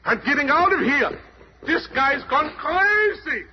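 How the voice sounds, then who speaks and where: Shrill, terrified little man. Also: very animated, unhurried, pushed and bright, heavily acted. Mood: panicked. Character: terrified, skittish little male passerby. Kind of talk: 1970s radio drama acting. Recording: slightly noisy 1970s radio recording.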